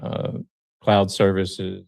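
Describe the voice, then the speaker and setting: A man from the United States, a guest truck driver on a live stream, talking casually and hesitantly with many pauses. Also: sits low, creaky. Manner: relaxed, hesitant with many ums.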